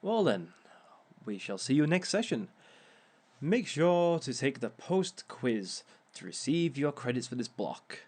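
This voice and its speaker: A man playing a suave, smug reformed villain who lectures as a relationship expert in a theatrical, measured, drawn-out way. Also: mid-range voice, sing-song, a little mellow, breathy edge. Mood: smug, playful. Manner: theatrical, measured, drawn-out phrasing with sly chuckles. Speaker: speaker leading a seminar session. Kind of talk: scripted in-character audio roleplay lecture.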